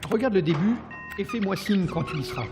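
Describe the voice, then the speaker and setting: Man acting an authoritative engineer dad, very animated pitch, probing, audio drama with music. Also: fairly quick. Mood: curt, commanding. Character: commanding android-engineer father, probing and determined. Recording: music behind the voice.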